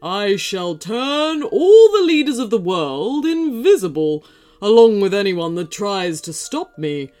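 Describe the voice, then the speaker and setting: A woman's voice: sing-song, slow pace, a little mellow. A woman voicing a monstrous male wolf, a scheming evil genius, in a growly, theatrical storybook voice. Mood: triumphant. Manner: booming, theatrical, gloating. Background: music.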